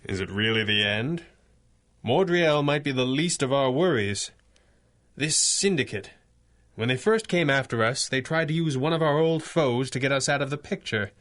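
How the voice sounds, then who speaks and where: An actor playing a stern, analytical masked superhero, speaking gravely in the style of a 1930s radio serial. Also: male voice, mid-range voice, expressive, normal speed, fairly bright tone, lightly acted. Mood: grave, pondering. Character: stern, commanding masked superhero crime-fighter, calmly analytical.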